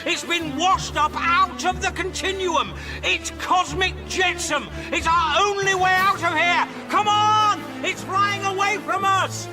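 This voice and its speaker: A man acting an exasperated, excitable galactic traveller and guidebook researcher in a radio comedy drama, wry and impatient. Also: extremely high pitch, measured pace, few pauses, over music. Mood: urgent, excited.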